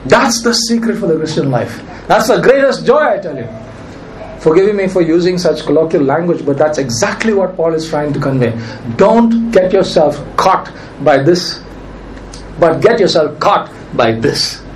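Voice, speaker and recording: Male pastor, high voice, strained from preaching, emphatic, faint background hiss. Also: lively intonation, moderate pace, poor audio. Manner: emphatic preaching, repeats key phrases. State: nearly lost his voice. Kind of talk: sermon.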